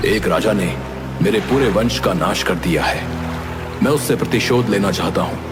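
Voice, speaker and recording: A man voicing a cunning, vengeful cartoon monkey who speaks formally, measured and persuasively. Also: on the low side, music in the background, lightly acted. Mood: pleading, resolute. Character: cunning vengeful male monkey, smooth-talking and deferential to kings. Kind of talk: scripted cartoon story dialogue in formal Hindi.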